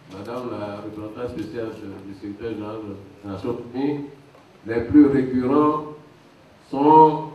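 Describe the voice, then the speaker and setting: A man of medium pitch gives a formal speech. His voice reaches the microphone from afar, with heavy echo and a little background noise. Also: a little mellow. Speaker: speaker addressing a UN envoy. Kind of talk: formal public address. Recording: echoey hall, distant mic, faint noise.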